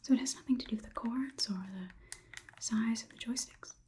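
A young woman performing an ASMR roleplay as a calm robot overlord, whispering in a measured, inquisitive, slightly condescending manner. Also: a little high, slight background noise, natural voice. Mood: quietly probing. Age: young adult. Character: calm, clinical robot overlord examining a human. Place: small indoor room.

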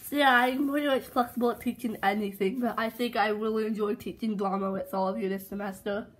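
A woman's voice: medium pitch, fairly even intonation, quick pace, lightly acted. A woman doing a chatty, cheerful male teacher. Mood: warm. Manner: rambling, chatty with restarts. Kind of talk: plush toy home video acting.

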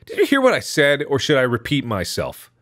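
A man voicing a stern, cold mother who nags her young son in a sharp way in a visual novel game. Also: mid-range voice, wildly swooping pitch, brisk, voice pushed up. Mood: scolding.

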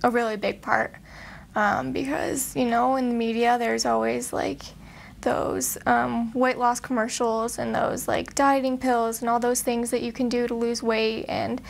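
A young woman answering interview questions hesitantly, with many pauses and fillers. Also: a little high, level tone, vocal fry. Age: young adult.

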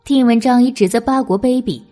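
A woman's voice: a little high, fairly quick, warm tone, soft background music. A woman narrating a historical novel as a scripted audiobook.

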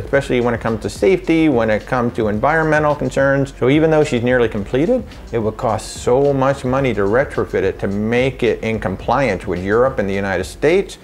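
A middle-aged man recording a casual travel vlog from a home office, running briskly through a list with wry asides. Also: medium pitch. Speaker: travel vlogger. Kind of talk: casual rundown to camera.

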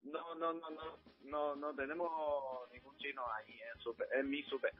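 A man's voice: fairly high pitch, fairly even intonation, normal speed, husky. A man who runs a shop, chatting casually on the phone in a polite, hesitant way. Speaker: supermarket owner taking a phone call. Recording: dull phone audio, faint music behind.

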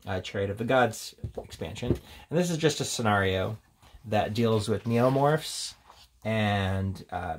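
A man with a lowish voice and a lot of vocal fry talks through a product in an off-the-cuff, rambling way. He sounds casually enthusiastic as he reviews, pausing and picking up again. There is faint noise behind him. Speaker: tabletop game reviewer. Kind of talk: casual unscripted product walkthrough. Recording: steady background noise, handling clicks.

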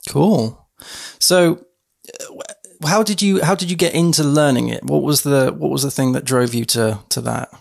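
Upbeat podcast host, gravelly edge. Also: male voice, mid-range voice, normal speed. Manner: upbeat, lots of listening murmurs. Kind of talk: casual podcast interviewing. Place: home studio room. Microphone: headset and studio mic.

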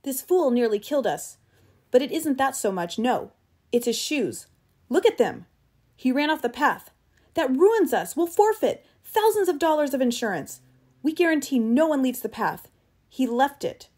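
Storybook safari guide, male, played by a woman, hard-bitten, loud, commanding, quick to accuse. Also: medium pitch, close to an everyday voice. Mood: furious.

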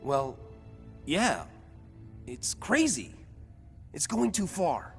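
A man's voice: on the high side, wildly swooping pitch, drawn-out words, gravelly, soft background music, heavily acted. An actor playing a young superhero who protests in alarm against his allies' plans. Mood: alarmed, pleading. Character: young male superhero, anxious conscience.

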